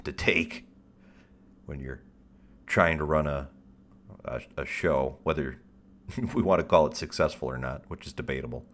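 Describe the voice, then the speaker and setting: Very deep-voiced rambling male streamer. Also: fairly bright tone. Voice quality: deep radio-style voice. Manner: rambling, stop-start, self-deprecating; wry, swears casually. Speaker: livestream host. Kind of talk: casual livestream chat.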